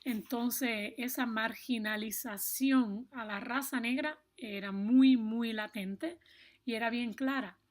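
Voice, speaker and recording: A woman with a Cuban accent explaining things to viewers in a personal, emphatic way, stressing points with deliberate repetition. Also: fairly high pitch. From Cuba. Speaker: video blogger.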